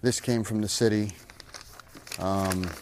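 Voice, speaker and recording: A man's voice: on the low side, steady tone, slow pace, a little hiss or hum. A man coaching a grappling team, speaking plainly and informally, with some swearing, in documentary talk. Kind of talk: documentary interview and motivational pep talk. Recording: loud music bed under the voice.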